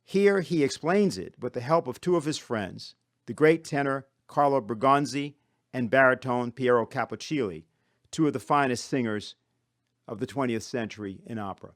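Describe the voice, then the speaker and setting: A man with a mid-pitched voice delivers a prepared presentation. His delivery is formal and measured, with long sentences broken into careful phrases. The sound is close and dry. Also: dry recording. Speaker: conference presenter. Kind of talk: prepared speech.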